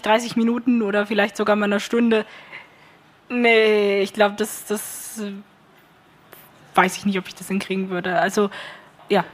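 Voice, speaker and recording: A woman, a workshop participant, answering tentatively and unsure of herself. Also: medium pitch. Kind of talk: panel discussion answer.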